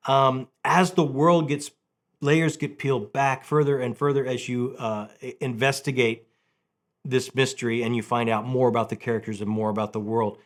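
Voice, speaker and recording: A man's voice: medium pitch, little pitch movement, normal speed. A middle-aged man chatting casually and enthusiastically about books as a channel host, repeating phrases for emphasis. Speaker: host of a book review channel.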